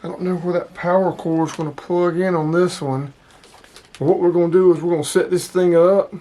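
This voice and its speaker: A man's voice: high-pitched, steady tone, fairly quick, mellow. A man giving casual, hands-on unboxing commentary, informally thinking aloud as he handles the gear. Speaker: vlogger unboxing radio gear.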